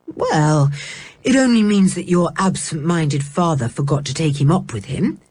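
A woman voicing a sensible, bossy older schoolboy in a children's audiobook, brisk and reasonable. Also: fairly high pitch, wildly swooping pitch, a little mellow, breathy edge, pitched above the performer's own voice, exaggerated voice. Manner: brisk, reasonable, mildly impatient.

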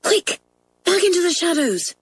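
A woman voicing Janet, a bossy, brisk schoolgirl who talks sharply. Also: extremely high pitch, sing-song, voice pushed up, full character voice. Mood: urgent whisper. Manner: sharp, commanding.

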